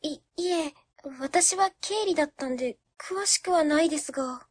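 A woman acts a shy office worker in a web radio drama. Her voice is extremely high, and she answers in meek, polite, stammering phrases. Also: moderate pace, natural voice. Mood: timid.

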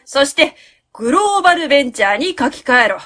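A woman's voice: sits high, very animated, brisk, a bit bright. A woman playing a pompous, self-assured consultant who gives commanding advice in a web radio drama.

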